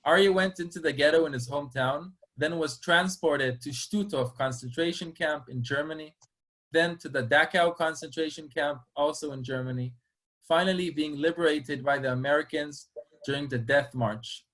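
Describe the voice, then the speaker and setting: Slightly high-pitched male interviewer. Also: moderate pace, a bit bright. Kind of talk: moderating a webinar interview. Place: small plain room indoors. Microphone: video call, computer microphone.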